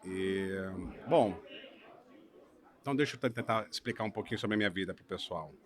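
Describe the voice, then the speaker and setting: A man from Brazil, a lawyer in his thirties, telling the story of his career in a relaxed podcast conversation, often checking in with "né?". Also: lowish voice, brisk. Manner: relaxed storytelling, hedging with frequent "né?". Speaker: guest lawyer. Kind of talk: casual podcast interview.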